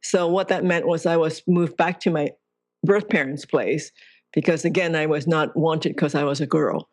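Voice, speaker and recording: A woman's voice: low voice, normal speed, no echo. A woman from Hong Kong, a guest author in an interview, speaking in a reflective, earnest way and laughing lightly now and then. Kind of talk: interview conversation.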